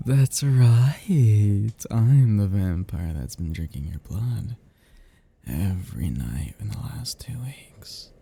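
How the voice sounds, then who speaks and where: Male actor as ancient vampire, ASMR roleplay, very dark gravelly voice, unhurried, halting, teasing, possessive. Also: lowish voice, expressive, dry recording, heavily acted. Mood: teasing menace. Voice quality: soft, husky, gravelly. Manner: halting, teasing, chuckling under menace. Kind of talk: intimate ASMR roleplay, addressing the listener.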